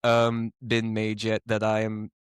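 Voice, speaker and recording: A young man from Ireland whose accent drifts toward American, rambling cheerfully and poking fun at himself as a podcast guest. His voice is smooth and resonant, recorded close and dry with no room sound. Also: lowish voice, monotone, a little slow, no room sound. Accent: mixed, mostly American-sounding. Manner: rambling, self-deprecating, enthusiastic. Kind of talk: casual interview chat.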